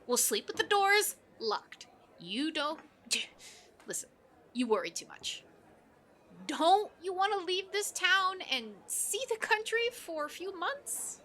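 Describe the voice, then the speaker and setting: A woman's voice: very high voice, very animated, takes it slowly, fairly bright tone, full character voice. A woman playing a restless, persuasive young best friend, casual and coaxing, in an audio drama.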